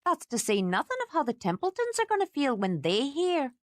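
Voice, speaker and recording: A bossy older housekeeper, brisk and fiercely fond, scolding in a lively voice that swings high when she gets worked up. A woman puts her on in a storybook reading. Dry, close sound. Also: dry recording.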